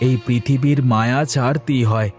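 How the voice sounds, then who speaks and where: A man playing a fatherly village neighbour in a cartoon, coaxing, soothing and gently preaching. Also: mid-range voice, fairly even intonation, moderate pace, soft background music, natural voice. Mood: consoling. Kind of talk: animated cartoon dialogue.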